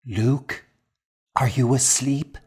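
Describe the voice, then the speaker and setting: A man's voice: medium pitch, fairly even intonation, very slow, a little mellow, slight background noise, pitched above the performer's own voice. A man voicing a desperate monk who confesses and pleads emotionally in an audiobook. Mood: hushed concern. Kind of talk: audiobook character acting.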